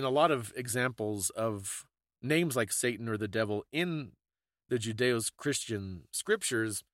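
A man's voice: medium pitch, no echo, clean studio sound. A man co-hosting a podcast, talking in a reflective, explanatory, conversational way. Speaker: podcast co-host.

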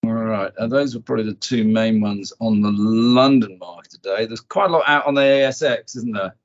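A man's voice: lowish voice, brisk, lo-fi sound. A man hosting a chat who moves the talk along with easy tag questions. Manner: conversational, steering with tag questions. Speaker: host. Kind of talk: casual chat.

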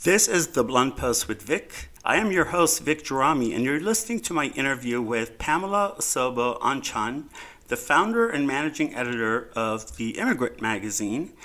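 A man's voice: medium pitch, big pitch swings, normal speed, bright, forceful tone, a little hiss or hum. A man hosting a radio interview, giving brief affirming replies and reading station plugs. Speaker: radio host.

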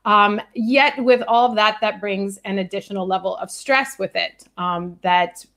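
A woman hosting a live online conversation from home, measured and friendly, with frequent fillers. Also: medium pitch, fairly bright tone. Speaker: host. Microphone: video call.

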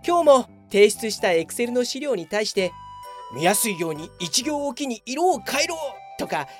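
A woman's voice: fairly high pitch, sing-song, moderate pace, soft background music. A woman playing a self-justifying, grumbling male office worker in a comedic radio drama. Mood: resigned narrating. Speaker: voice actor. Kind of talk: radio comedy drama acting with inner monologue.

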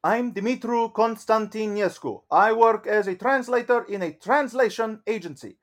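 A man's voice: high-pitched, normal speed, pitched above the performer's own voice, natural voice. A man reading as a dry office translator. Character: male office translator, matter-of-fact.

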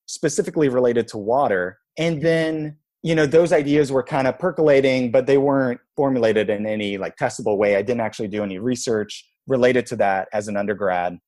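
A man in his thirties from Israel, a guest telling his life story in a relaxed, reflective way, with many "like"s and "you know"s. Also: medium pitch, brisk, few pauses. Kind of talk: casual storytelling interview.

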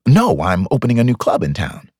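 Man playing a husky, flirtatious bachelor. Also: on the low side, huge pitch swings, brisk, darkish tone, dry recording, studio quality, full character voice. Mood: friendly. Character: confident, flirtatious bachelor club owner, smooth and teasing.

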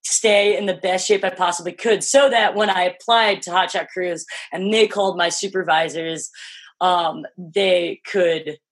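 Husky low-voiced woman, Philly-Jersey accent. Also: measured pace. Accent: Philadelphia-New Jersey. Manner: low-voiced, animated, sprinkles "like" and swears freely. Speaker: podcast guest. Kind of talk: casual interview chat.

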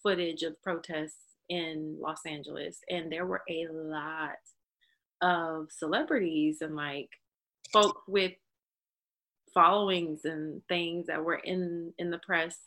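A woman in casual conversation, animated and playful, talking in quick bursts. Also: low voice, rough recording.

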